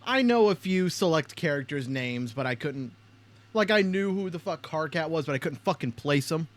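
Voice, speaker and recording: A man's voice: fairly high pitch, animated, moderate pace. A man hosting a casual reaction video, talking bluntly and swearing a lot. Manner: blunt, heavily profane.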